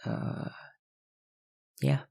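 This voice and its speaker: A young man, an ASMR YouTuber, chatting casually through viewer questions, hesitant with many fillers and soft laughs. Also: drawn-out words. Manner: hesitant, lots of ums and likes, soft laughs. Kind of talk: casual Q&A chat.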